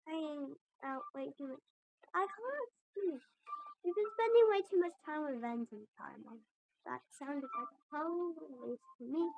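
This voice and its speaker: A woman's voice: high voice, dry recording, dull audio. A woman giving casual, off-the-cuff commentary while playing a video game.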